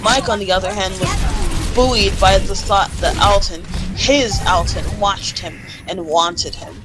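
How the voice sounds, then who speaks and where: A woman's voice: medium pitch, a little slow, music in the background. A woman reading fan fiction aloud in a casual group call, giggly and often stumbling and repeating phrases. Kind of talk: amateur read-aloud of fan fiction during casual chat.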